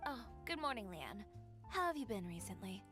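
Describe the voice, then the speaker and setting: A woman's voice: mid-range voice, sing-song, moderate pace, over music, close to an everyday voice. A woman voicing a poised, blunt young fashion designer in a game, composed and drily sarcastic. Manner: cool, composed, dry sarcasm. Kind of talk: scripted game voice acting.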